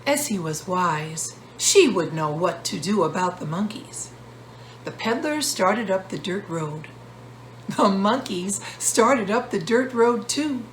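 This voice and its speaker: A woman author narrating a children's storybook in measured, deliberate phrases. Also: mid-range voice, expressive, background noise. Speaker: author reading a book.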